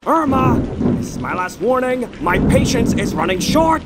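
A woman voicing gruff, theatrical male comic characters, bossy and easily exasperated. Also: a little high, animated, normal speed, very noisy, close to an everyday voice. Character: gruff, exasperated father; swaggering teenage bully boy. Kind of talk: comic fandub acting.

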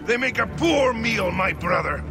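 An actor playing a menacing, gloating brute of a gladiator in a video game, taunting and mocking. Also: male voice, very high voice, heavily acted. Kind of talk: video game villain acting.

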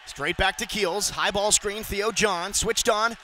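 A young man calling radio basketball play-by-play, rattling off plays and shouting on big moments. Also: high-pitched, slight background noise. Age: young adult. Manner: rapid excited calls, shouting on big plays. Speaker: play-by-play announcer.

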